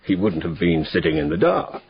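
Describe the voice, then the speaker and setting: An actor playing a businesslike police inspector in a radio drama, with a matter-of-fact way of briefing. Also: male voice, low voice, sing-song, normal speed, creaky, heavily acted. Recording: dull lo-fi radio drama audio.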